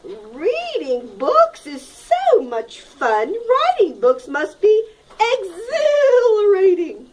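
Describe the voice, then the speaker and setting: A woman's voice: very high voice, huge pitch swings, extremely slow, pitched above the performer's own voice, exaggerated voice, poor audio. A woman voicing an eager, bookish hen storyteller with a clucking, enthusiastic manner for a storybook. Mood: delighted.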